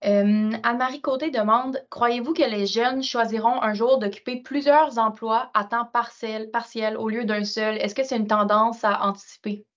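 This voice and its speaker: A woman moderating a webinar, reading out audience questions in a brisk, businesslike way. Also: a little high, steady tone, a bit bright. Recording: muffled lo-fi web call audio.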